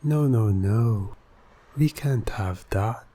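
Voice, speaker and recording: A man playing a smooth-talking, persuasive hypnotist in an ASMR roleplay, speaking softly and soothingly with deliberate, breathy delivery. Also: lowish voice. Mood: feigned innocence. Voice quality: soft, breathy. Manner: soothing, persuasive, deliberate, often dropping to a whisper. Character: smooth-talking, coaxing male hypnotist playing innocent motorist.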